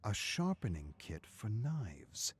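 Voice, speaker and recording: A man's voice: lowish voice, very animated, takes it slowly, slightly rough. A man reading a scripted story as a dramatic narrator.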